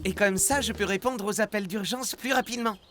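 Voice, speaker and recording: A woman's voice: mid-range voice, a little fast, a bit bright, soft background music, natural voice. A woman voicing a friendly, proud and dutiful police car in a children's educational cartoon. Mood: cheerful, proud.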